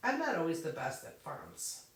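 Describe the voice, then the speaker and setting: A woman's voice: low-pitched, wildly swooping pitch, moderate pace. A woman hosting a casual craft Q&A, answering viewers' questions and thinking aloud while she demonstrates on her computer, laughing often. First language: English. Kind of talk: casual live Q&A with on-screen demonstration. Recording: roomy echo, a bit far from the mic.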